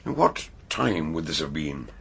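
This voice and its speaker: A man playing an eccentric, shrewd detective doctor in a radio drama, deliberate and musing as he questions and narrates. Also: very deep voice, sing-song, vocal fry, a bit bright, breathy edge, exaggerated voice. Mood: inquisitive. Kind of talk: radio drama acting and first-person narration.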